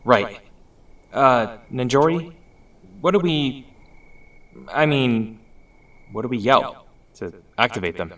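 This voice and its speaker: A man's voice: mid-range voice, animated, slow, long pauses, fairly bright tone. A man playing a cocky, eager teenage boy hero in an audio drama. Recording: distant mic, faint music.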